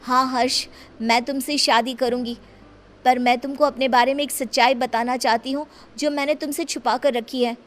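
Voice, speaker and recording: A woman's voice: high voice, steady tone, moderate pace, close to an everyday voice. A woman voicing a curious, wilful young transgender village girl in a cartoon story. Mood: tender.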